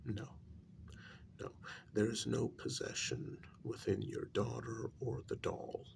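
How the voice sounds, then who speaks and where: Man playing grave paranormal consultant, ASMR role-play, soft and breathy, heavy vocal fry, halting blunt delivery, noisy recording with clicks. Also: fairly low pitch, heavily acted. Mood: grave, firm. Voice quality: breathy, gravelly soft voice dropping near whisper. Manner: slow, halting, deliberate delivery with long pauses.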